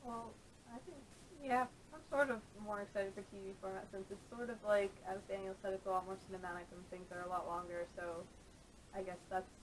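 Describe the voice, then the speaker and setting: A woman's voice: fairly even intonation, fairly quick, low-quality recording. A woman chatting casually on a podcast, tentative and hedging as she talks, recorded on a camera microphone. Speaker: podcast panelist. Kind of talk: casual chat. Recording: camera audio with faint rumble.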